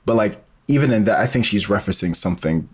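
Very deep-voiced man, casual interview guest. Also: lively intonation, fast talker, a little mellow, breathy edge. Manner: informal, thinks aloud in loose rambling explanations. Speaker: interview guest, sci-fi audio storyteller.

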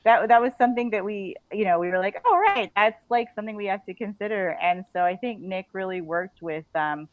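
Muffled lo-fi woman guest on a podcast, chatty and halting, says like a lot, medium pitch. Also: expressive, no room sound. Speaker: guest author and audio drama writer. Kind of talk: casual podcast interview. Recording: dull lo-fi audio.

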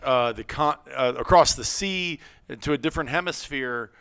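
A man's voice: mid-range voice, normal speed, bright, forceful tone, clear, resonant tone, no echo, studio quality. A middle-aged American man with a joking, folksy manner, hosting a podcast and telling stories casually. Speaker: podcast host. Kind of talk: casual conversational storytelling.

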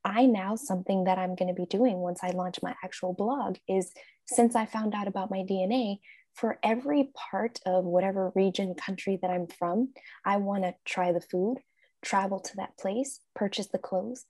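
A woman's voice: mid-range voice, normal speed, no echo. A woman life coach, a guest in an interview, talking casually and acting out her own thoughts in quotes. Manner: animated, acts out her own quoted thoughts, frequent laughs.

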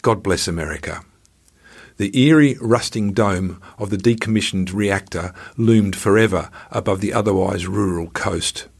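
A man reading his own scripted travel memoir in a measured storytelling manner with dry irony. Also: low-pitched, creaky, husky.

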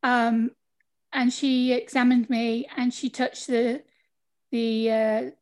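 A woman's voice: on the high side, level tone, takes it slowly, darkish tone. A middle-aged woman from the UK with a British accent, telling her story as a guest in a casual interview over a video call, chatty and laughing often. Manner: chatty, laughs often, acts out quoted people. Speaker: podcast guest.

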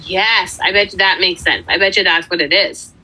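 Bright, husky-voiced woman joking around. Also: on the low side, rough recording. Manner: quick, joking, teasing. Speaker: podcast co-host. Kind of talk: casual true crime chat.

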